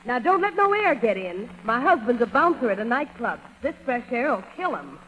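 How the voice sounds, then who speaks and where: A woman's voice: on the high side, big pitch swings, moderate pace, a bit bright, in a large hall, a little hiss or hum, poor audio. A woman playing a brash, suspicious housewife in a 1930s radio comedy, on an old recording. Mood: brash, matter-of-fact. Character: brash, suspicious, plain-spoken tenement housewife.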